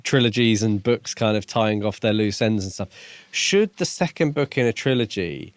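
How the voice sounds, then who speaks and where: A man with a fairly low voice talks in a very dry-sounding space. He chats as a podcast co-host, reflective and a little tentative, working his thoughts out as he goes. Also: moderate pace, dry recording. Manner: tentative, thinking aloud. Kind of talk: casual reflective discussion.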